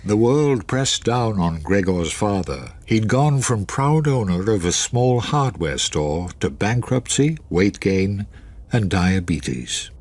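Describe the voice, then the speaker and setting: An actor playing the grave storyteller of a dark radio drama, in scripted narration. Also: male voice, lowish voice, lively intonation, takes it slowly.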